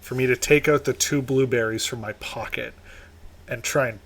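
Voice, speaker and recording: A man's voice: mid-range voice, fairly even intonation, normal speed, vocal fry, fairly bright tone. A man bantering casually and comically as a player in a tabletop game.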